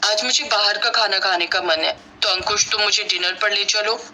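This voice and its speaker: A snobbish young pregnant city woman, acted by a female storyteller, wheedling and self-serving. The voice is very bright and sharp, with faint noise behind it, in the style of an audio family tale. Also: medium pitch, fairly even intonation, normal speed, low-quality recording. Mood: haughty. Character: selfish, whiny, snobbish young pregnant city sister-in-law.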